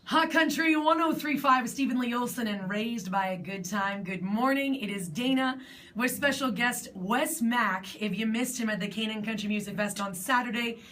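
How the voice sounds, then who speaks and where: A woman with a Canadian accent and a slightly raspy voice, hosting an upbeat morning radio show in a studio. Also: fairly high pitch, normal speed, fairly bright tone.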